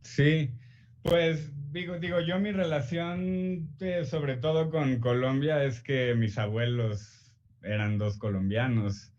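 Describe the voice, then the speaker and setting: A young Mexican man, an author answering interview questions in a relaxed, hesitant way full of fillers and restarts. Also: fairly high pitch, slow pace, highs cut off.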